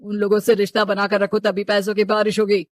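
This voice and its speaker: A man's voice: sits high, completely flat delivery, fairly quick, no room sound, clean studio sound, lightly acted. A man playing a stern, money-minded middle-aged father in a story, domineering and scolding. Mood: calculating.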